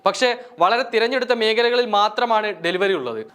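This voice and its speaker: A male news narrator with a notably high voice. He reads from a prepared script in the manner of a news report. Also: a little fast, a bit bright.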